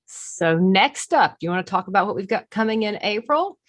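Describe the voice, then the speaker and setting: A woman with a Southern US accent co-hosting a webinar over a video call, upbeat and lively. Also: medium pitch, a little fast, dry recording, studio quality. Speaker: marketing firm founder, webinar co-host.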